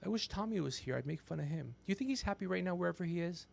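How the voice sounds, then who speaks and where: A man's voice: high-pitched, animated, very fast, creaky. A man in his fifties chatting casually on a livestream as host, brash and ranting, swearing freely. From Persian background. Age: 50s. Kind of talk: casual profane livestream chat.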